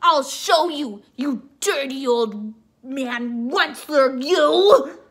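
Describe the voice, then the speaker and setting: A woman's voice: high voice, lively intonation, slow pace, heavily acted. A woman voicing an indignant storybook creature who scolds and laments in an emphatic, theatrical way. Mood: indignant, mournful. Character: stern Lorax scolding a dirty old factory man.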